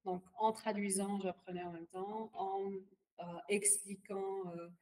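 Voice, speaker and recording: A woman from Palestine whose first language is Arabic, talking as a podcast guest in a halting, filler-heavy way and slipping English phrases into her speech. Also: mid-range voice, steady tone, warm tone. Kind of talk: casual interview chat.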